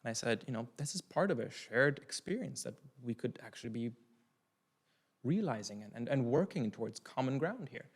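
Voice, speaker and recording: A man's voice: mid-range voice, expressive, normal speed, breathy edge. Young man from Ireland giving a keynote. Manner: thoughtful, restarts often, talks with his hands. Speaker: keynote speaker. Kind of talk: conference talk answering a question. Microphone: seated at a desk mic on a stand.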